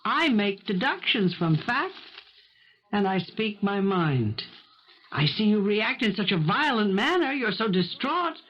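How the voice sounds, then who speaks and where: A woman's voice: medium pitch, sing-song, unhurried. An actress playing a blunt, probing maid who speaks her mind in a 1970s radio drama. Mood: probing, insistent. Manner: pointed, questioning, outspoken. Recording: dull 1970s radio broadcast recording.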